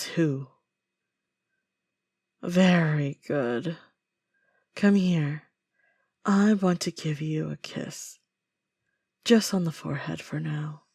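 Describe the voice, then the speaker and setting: A woman playing a possessive female villain in an intimate audio roleplay, falsely sweet, deliberate and coldly menacing. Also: on the low side, darkish tone, dry recording, studio quality, exaggerated voice. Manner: slow, deliberate, veiled threats with endearments.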